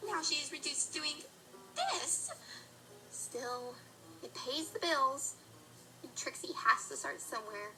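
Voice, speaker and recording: A woman's voice: high-pitched, lively intonation, measured pace, pauses often, bright, forceful tone, faint music behind, heavily acted, rough recording. A woman voicing a proud, down-on-her-luck pony magician in an audio drama, grumbling theatrically in the third person. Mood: wistful, bitter.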